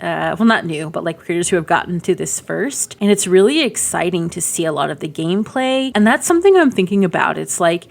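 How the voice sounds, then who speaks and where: A woman's voice: lowish voice, lively intonation, brisk, gravelly, hardly any pauses. A woman giving casual gaming commentary as a video host, chatty and enthusiastic, thinking aloud as she goes. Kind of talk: casual game preview commentary.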